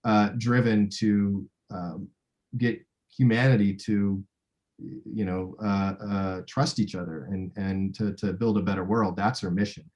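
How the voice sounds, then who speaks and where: A man speaking as a film director in a casual interview, thinking aloud in a halting way. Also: on the low side, fairly even intonation, darkish tone.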